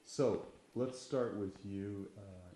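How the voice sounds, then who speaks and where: A man with a very low, mellow voice runs a relaxed group interview and nudges people to answer. The sound is echoey, like a bare room. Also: slow pace, slight background noise.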